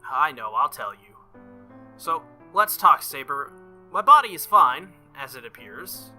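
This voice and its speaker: A man plays a stubborn, sincere teenage boy in a visual novel, a novice fighter who talks plainly. The voice is bright and a little high, over faint music. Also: sing-song, a little slow, full character voice. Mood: casual, reassuring. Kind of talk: visual novel dialogue read aloud.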